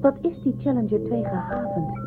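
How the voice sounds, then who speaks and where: A woman's voice: mid-range voice, moderate pace, natural voice. A woman in a radio drama playing a keen, strong-willed young spaceship crew member with an insistent manner. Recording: tinny phone-like narrowband audio. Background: music.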